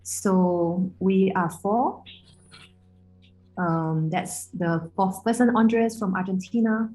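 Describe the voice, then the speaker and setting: A woman's voice: medium pitch, steady tone, takes it slowly, darkish tone, poor audio. A woman from Singapore introducing a student project, friendly and hesitant with many fillers. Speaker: student interviewer. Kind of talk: casual interview introduction.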